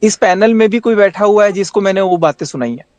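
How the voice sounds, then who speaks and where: A man's voice: sits high, fast, darkish tone, no room sound. A man in a heated group discussion, insistently repeating himself, talking over others and switching between Hindi and English. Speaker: panel participant at the centre of the dispute.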